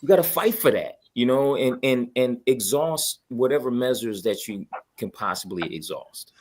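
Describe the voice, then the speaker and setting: Casual man with a medium-pitched voice. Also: lively intonation, moderate pace, a little mellow. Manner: colloquial, repeats phrases for emphasis. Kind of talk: casual chat.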